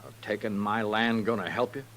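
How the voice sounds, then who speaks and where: Man acting a low-voiced, weary frontier homesteader. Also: a bit bright, slight background noise, lightly acted. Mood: bitter, suspicious. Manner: plain folksy frontier speech, halting. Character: embittered, grieving male homesteader, stubborn and weary. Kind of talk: radio western drama acting. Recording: old-time radio broadcast.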